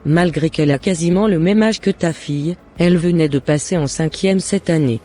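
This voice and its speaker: A man voicing a jealous, scheming mother and neighbour in an animated moral drama, with deliberate scripted phrasing. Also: fairly high pitch, natural voice. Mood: falsely friendly. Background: faint music.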